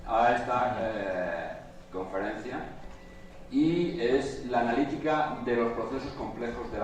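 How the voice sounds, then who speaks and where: Spanish man lecturing in an echoey hall. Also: medium pitch. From Spain. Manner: hesitant, many filler sounds, addresses audience directly. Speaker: conference speaker. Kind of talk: live conference talk. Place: conference hall. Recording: roomy hall sound from far off with faint noise.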